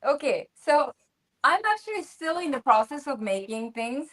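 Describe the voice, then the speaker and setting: A woman's voice: sits high, sing-song, moderate pace. A woman from Japan chatting on a video call, hesitant and restarting her sentences. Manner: hesitant, restarts, laughs softly. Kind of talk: casual video call chat.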